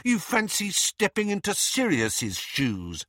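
A man reading a storybook, voicing an angry teenage boy with a sharp, cutting, scornful manner. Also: high-pitched, huge pitch swings, slow, dry recording, studio quality, voice pushed up, exaggerated voice. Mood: furious.

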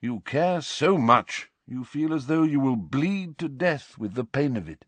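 Guy reading as a very old wizard headmaster, soft and low, slow and calm, dry sound. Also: no room sound. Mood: calm, gentle. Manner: quiet, gentle, unhurried.

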